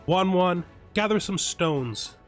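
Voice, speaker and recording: A man voicing a sly young bandit boss who feigns innocence, measured and dry, in game roleplay storytelling. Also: high voice, animated, soft background music. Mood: feigned concern.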